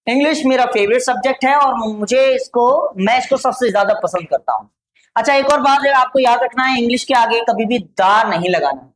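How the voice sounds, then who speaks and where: A woman with an Indian accent teaching spoken English to Hindi-speaking students, slowly dictating model answers and repeating each phrase. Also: fairly high pitch, a little hiss or hum, rough recording.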